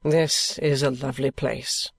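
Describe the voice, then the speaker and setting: A man playing an affectionate but bitter, evasive young gentleman, earnest and weary, in acted audiobook dialogue from a classic novel. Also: medium pitch, fairly even intonation, unhurried, vocal fry, breathy edge. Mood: wistful.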